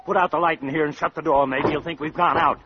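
A man's voice: on the high side, fairly even intonation, quick pace, creaky, very noisy. An actor playing a grumpy, put-upon middle-aged father who complains irritably, in old-time radio comedy style. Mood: grumbling. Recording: muffled old radio broadcast recording.

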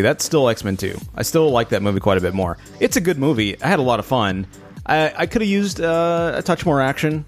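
Male, casual film-review podcaster, middle-of-the-road pitch, faint music underneath. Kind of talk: casual chat.

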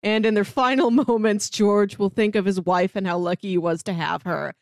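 A woman's voice: medium pitch, steady tone, moderate pace, no echo, studio quality. A woman co-hosting a podcast, discussing a book in a casual, chatty way. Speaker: podcast co-host.

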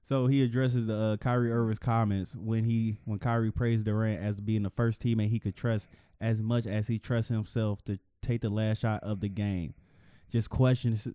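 A man co-hosting a casual sports podcast, rambling and joking, getting loud and lively when he argues. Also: medium pitch, clear, resonant tone, no echo, studio quality. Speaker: podcast co-host.

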